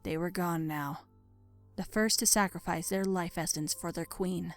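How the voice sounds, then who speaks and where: A woman reading scripted dark fiction as a narrator, measured and dramatic. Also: fairly low pitch, steady tone, faint music behind.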